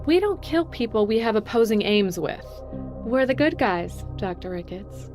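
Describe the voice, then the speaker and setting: An actress playing a cool, calculating corporate boss in an audio drama, speaking with smooth authority and condescension. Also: female voice, a little high, lively intonation, moderate pace, darkish tone, soft background music, lightly acted. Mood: assured, condescending.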